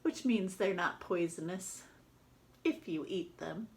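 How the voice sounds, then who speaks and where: A woman's voice: lowish voice, slow, gravelly, a little mellow. A woman teacher doing a read-aloud, chatting casually and explaining things simply to children. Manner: explains simply to young listeners. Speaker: teacher reading a picture book aloud.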